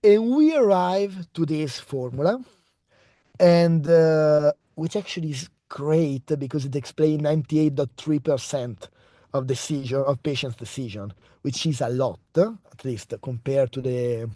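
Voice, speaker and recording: A man's voice: a little high, measured pace, dark tone, no echo, clean studio sound. A young man with an Italian accent giving an online research presentation over a video call, hesitating often with fillers and restarts. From Italy.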